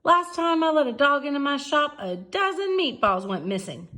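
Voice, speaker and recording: A woman's voice: sits high, lively intonation, normal speed, bright, forceful tone, voice pushed up. A woman playing a brusque storybook butcher lady. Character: blunt, no-nonsense female butcher turning someone down.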